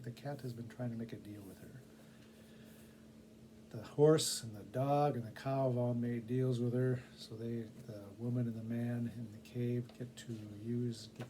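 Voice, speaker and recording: A man's voice: medium pitch, noisy background. A man with a casual, conversational manner, chatting and narrating a storybook as a volunteer reader.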